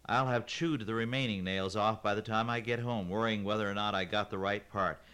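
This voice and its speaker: A man's voice: low voice, expressive, measured pace, a bit bright. A man voicing a young woman, an indignant farm daughter who snaps and makes demands in a read-aloud story. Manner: snapping, demanding.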